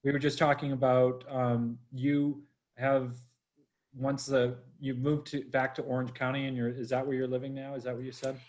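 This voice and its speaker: A man from Boston hosts a live online interview, his voice sitting at an ordinary middle pitch. He talks in a chatty, upbeat way and speaks straight to the viewers. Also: flat delivery, normal speed, a little mellow, rough recording. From Boston, USA. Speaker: host. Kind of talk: livestream interview.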